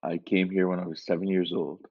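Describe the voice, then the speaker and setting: A man from Iran, a guest in a casual interview, telling his story in a relaxed, rambling way with lots of filler words. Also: sits low, fairly quick, slightly rough. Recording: dull lo-fi sound.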